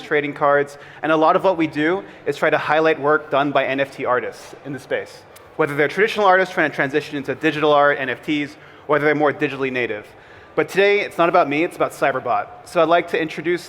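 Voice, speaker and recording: A man's voice: on the high side, fairly bright tone, slight background noise. A man moderating a conference panel, introducing the speakers with upbeat emphasis. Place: conference hall. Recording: long hall reverb, faint ambience.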